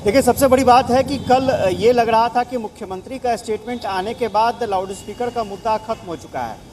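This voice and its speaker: A man's voice: very high voice, moderate pace. A man working as a news correspondent, giving an explanatory live field report. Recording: noisy outdoor city with traffic.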